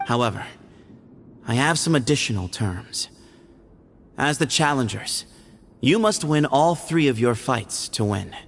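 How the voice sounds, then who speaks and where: An actor playing a solemn, pragmatic temple heir in a video game, speaking in a formal, measured way. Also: male voice, medium pitch, animated. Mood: firm, formal.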